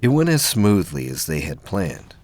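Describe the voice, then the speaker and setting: A man's voice: deep voice, huge pitch swings, moderate pace, darkish tone, no room sound. A man reading scripted narration for a science fiction audio drama.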